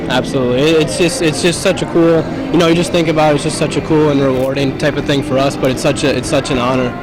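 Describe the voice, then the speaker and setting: A man's voice: on the high side, fairly even intonation, fast, poor audio. A young male college student answering interview questions, eager, with many fillers. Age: young adult. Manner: eager, repeats "absolutely", hesitant fillers. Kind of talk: casual interview. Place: outdoor event with crowd.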